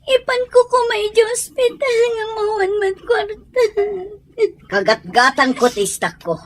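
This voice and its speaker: Actress as distraught young mother, very high voice, tearful, pleading, poor and desperate, audio drama. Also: lively intonation, measured pace. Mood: desperate, crying. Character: distraught poor young mother begging for her sick child. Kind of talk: Ilocano audio drama acting.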